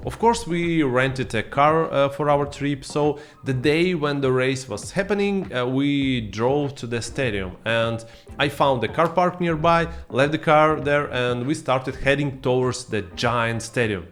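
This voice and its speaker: A man in his thirties, not a native English speaker, talking to camera in a scripted vlog with playful asides, recorded on a boom-arm studio mic in a dim room at home. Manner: lively, playful asides to viewers. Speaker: vlogger.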